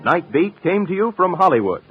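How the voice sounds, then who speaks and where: Animated male announcer, muffled vintage radio. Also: high voice, moderate pace, soft background music. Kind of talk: 1950s radio announcing, scripted credits and promos. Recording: muffled 1950s radio recording.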